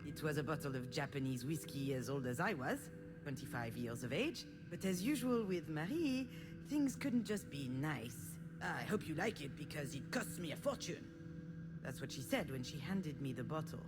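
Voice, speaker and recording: An actress playing a bitter, self-deprecating young woman in a video game, with dramatic dialogue and confessional inner narration. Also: low voice. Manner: sarcastic, self-deprecating, expressive. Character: bitter, sarcastic young woman looking back on her life.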